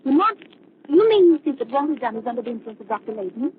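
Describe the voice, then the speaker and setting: High, animated female radio sidekick. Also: fast. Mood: alarmed, skeptical. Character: inquisitive, devoted female companion of a crime fighter. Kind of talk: 1930s radio drama acting. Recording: tinny, noisy 1930s radio recording.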